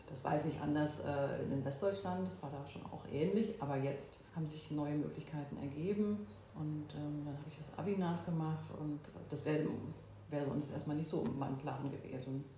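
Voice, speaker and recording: A woman in her fifties from Berlin, a guest in an interview, who talks thoughtfully with pauses and fillers. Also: low-pitched, mellow, a little hiss or hum. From Berlin, Germany. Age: 50s. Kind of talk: oral history interview. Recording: echoey room.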